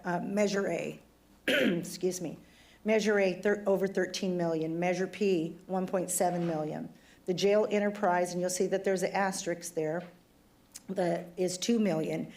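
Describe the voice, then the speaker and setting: A woman's voice: on the low side, a little mellow. Woman police chief, losing her voice. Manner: conversational and plainspoken, some fillers. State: losing her voice, throat trouble. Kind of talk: formal presentation to city council.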